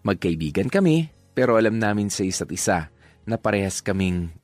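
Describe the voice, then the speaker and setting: A man's voice: lowish voice, sing-song, fairly quick, a little mellow, no room sound, soft background music. An actor playing a fond, reflective young man who narrates his romance in a confiding first-person radio drama style. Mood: fond reminiscing.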